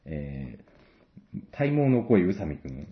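A man with a very low voice that moves up and down a lot. He chats casually as a guest plugging a show, teasing and joking between announcements. The audio is a little dull and lo-fi. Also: very animated, slow. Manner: playful, joking, bantering. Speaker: guest, stage actor promoting a play.

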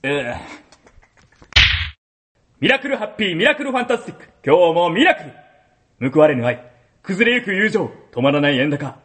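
A man's voice: fairly high pitch, big pitch swings, moderate pace, pauses often, a bit bright. A man in an amateur radio drama, reading a dramatic trailer in a theatrical voice. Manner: theatrical, dramatic trailer delivery, laughs at flubs. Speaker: voice actor.

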